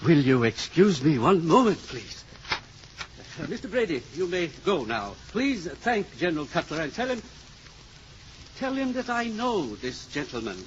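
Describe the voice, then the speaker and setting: An actor playing an eager, courteous scientist in an old radio drama, with formal, hesitant, deliberate phrasing. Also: male voice, fairly high pitch, big pitch swings. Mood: excited, curious. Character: eager, curious professor, courteous senior scientist. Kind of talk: 1950s radio drama acting. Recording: muffled old radio recording, faint noise.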